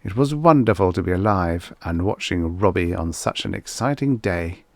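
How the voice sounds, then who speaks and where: A man reading a short story as an audiobook narrator, in a measured, expressive storytelling manner. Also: low-pitched, vocal fry, no room sound.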